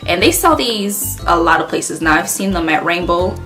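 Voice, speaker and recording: A woman with a light Haitian Creole accent talks through her favorite makeup products in a loose, rambling way, pausing on ums and correcting herself as she goes. Her voice sits on the lower side, and a guitar track plays clearly behind her. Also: lively intonation, a bit bright. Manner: chatty, rambling, many ums and self-corrections. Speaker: beauty vlogger. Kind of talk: casual makeup product review. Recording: clear background guitar music.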